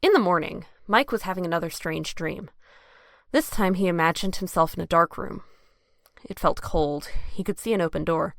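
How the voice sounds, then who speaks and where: A woman serves as narrator of an audio drama, reading scripted prose. Her voice sits a little low, recorded dry and close. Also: moderate pace, no room sound.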